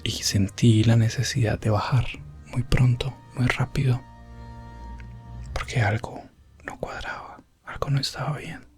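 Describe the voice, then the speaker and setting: A man playing an elf prince adventurer, telling a fantasy ASMR tale in a soft, breathy, often whispered voice with solemn pauses. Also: mid-range voice, fairly even intonation, faint music behind, close to an everyday voice. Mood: tense, hushed. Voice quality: breathy, hushed. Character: elf prince adventurer recounting his rescue quest, gentle and solemn. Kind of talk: ASMR fantasy roleplay storytelling.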